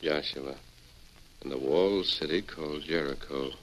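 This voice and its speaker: An actor playing a commanding, noble cowboy hero with an American accent and formal, authoritative diction, in old-time radio western style. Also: male voice, rumbling low, normal speed, a little hiss or hum, poor audio. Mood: calm, resolute.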